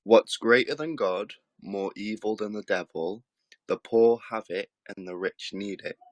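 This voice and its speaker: Low-voiced casual male livestream caller. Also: a little slow, dry recording. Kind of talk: casual chat.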